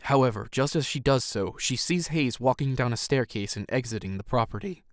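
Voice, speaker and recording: A man's voice: medium pitch, expressive, fairly quick, no room sound, studio quality, close to an everyday voice. A man narrating an audio drama, reading scripted scene action in the present tense.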